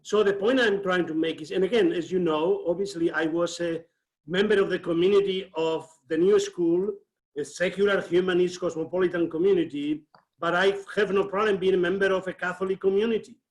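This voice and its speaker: A man from Spain speaks at a fairly high pitch over a video call. He builds his argument slowly, with frequent hesitations and self-corrections. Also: fairly even intonation, rough recording. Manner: thoughtful, with many hesitations and restarts. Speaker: seminar participant asking a question.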